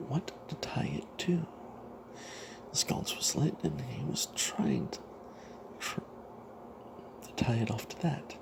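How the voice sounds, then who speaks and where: A man telling a fantasy tabletop tale in a breathy ASMR whisper, halting with long pauses. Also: medium pitch, noisy background.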